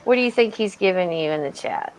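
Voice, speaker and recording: A woman's voice: mid-range voice, lively intonation, fast, slight background noise, poor audio. A woman chatting casually on a video call stream, teasing the others and giggling. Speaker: panelist on a live stream. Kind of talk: casual group chat.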